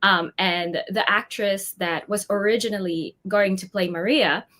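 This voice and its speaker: A woman's voice: medium pitch, fairly even intonation, unhurried, fairly bright tone. A young woman from the Philippines, an actress chatting as an interview guest, talking at length with many fillers and frequent laughter. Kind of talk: casual interview chat.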